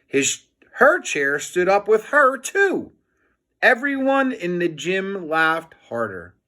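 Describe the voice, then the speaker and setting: Animated, deliberate man reading a storybook. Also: high voice. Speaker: teacher reading a children's book aloud. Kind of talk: expressive children's storybook narration.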